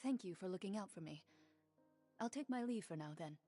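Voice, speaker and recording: An actress playing a reserved, courteous young duelist in a video game, polite and restrained. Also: female voice, mid-range voice, lively intonation, fairly quick, warm tone, faint music behind, close to an everyday voice. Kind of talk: scripted video game dialogue.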